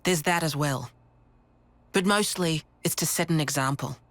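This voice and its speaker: An actor voicing a gruff, matter-of-fact, world-weary resistance leader in a video game cutscene. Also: male voice, a little high, moderate pace, a bit bright, dry recording. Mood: grave briefing. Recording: dramatic game music behind voice.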